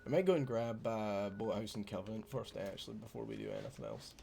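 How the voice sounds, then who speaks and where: A Scottish man with a low, gravelly voice talks through a game from his home streaming setup. He chats loosely and swears freely while he banters with his viewers. Faint ambient noise sits behind him. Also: sing-song, fast, warm tone. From Scotland. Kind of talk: casual gameplay commentary.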